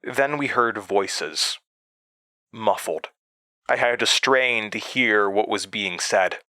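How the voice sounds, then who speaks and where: A man playing a haunted narrator in a horror audio drama, recalling his past gravely with dramatic pauses. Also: on the low side, pushed and bright, dry recording. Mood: grave recollection. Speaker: narrator and protagonist.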